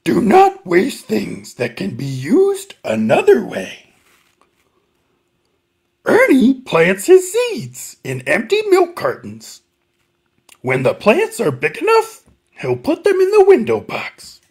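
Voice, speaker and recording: A man voicing an excitable furry little monster puppet, reading a children's picture book in a strained, throaty voice. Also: extremely high pitch, gravelly, husky, pitched above the performer's own voice, exaggerated voice. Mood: earnest, instructive. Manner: emphatic, swooping, deliberate reading to small children.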